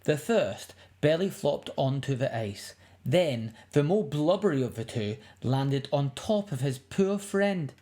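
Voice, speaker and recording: A woman reading a picture book aloud to young children in an expressive, dramatic way. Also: deep voice, a little slow, hardly any pauses. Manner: expressive, dramatic, with emphatic sound words.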